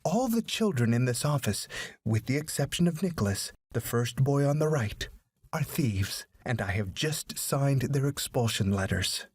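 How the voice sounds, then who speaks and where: A stern woman principal in a storybook, read by a man. The delivery is firm, formal and authoritative, with a husky tone and a very dry, close sound. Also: mid-range voice, expressive, moderate pace, dry recording.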